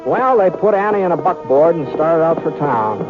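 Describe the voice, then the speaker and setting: A man's voice: fairly high pitch, big pitch swings, over music. A man playing a mild, reasonable cowboy hero in a radio western, speaking in a hesitant, folksy way. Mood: reflective. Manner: hesitant, halting, folksy drawn-out phrasing. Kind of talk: old-time radio western acting. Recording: noisy, tinny vintage radio recording.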